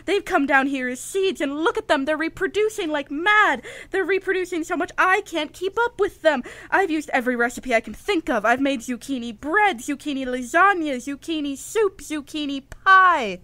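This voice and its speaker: Woman acting paranoid dotty housewife, high-pitched, earnest rambling, dramatic, dry sound, radio comedy. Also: normal speed, hardly any pauses, bright, forceful tone, no room sound. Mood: earnest, insistent. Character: dotty, paranoid housewife convinced vegetables are alien invaders.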